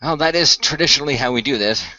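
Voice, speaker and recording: A man performing and narrating a semi-improvised radio play in a measured, explanatory way with formal phrasing. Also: mid-range voice, bright, forceful tone, no echo. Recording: dry, dull lo-fi microphone.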